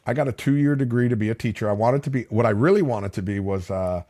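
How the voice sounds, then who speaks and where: A man's voice: medium pitch, fast, dark tone. A middle-aged man, bilingual with Spanish as his first language, telling stories in a casual, chatty interview with many fillers and restarts. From Palm Beach County, Florida. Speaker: podcast guest, fishing captain.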